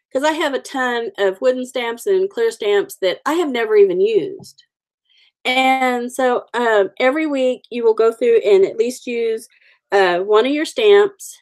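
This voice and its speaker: A woman's voice: a little high, darkish tone. A woman with the sniffles, chatting casually with viewers while coloring stamped cards on a livestream, greeting people by name. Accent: Oklahoma. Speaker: livestream host.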